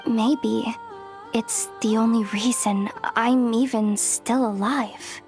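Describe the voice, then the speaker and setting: A woman's voice: a little high, fairly even intonation, slow, darkish tone, faint music behind. An actress playing a loyal, kind-hearted, streetwise young woman in a video game, speaking in an earnest, plucky, slightly guarded way. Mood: warm, firm. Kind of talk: dramatic video game voice acting.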